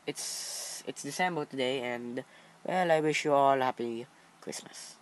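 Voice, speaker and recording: A gamer, a Tagalog speaker, giving casual, excitable gameplay commentary, exclaiming and muttering playfully. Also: mid-range voice, measured pace, poor audio. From Philippines. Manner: exclaims and mutters playfully to himself while playing. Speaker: gaming video host. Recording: loud game music over voice. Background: loud video game music.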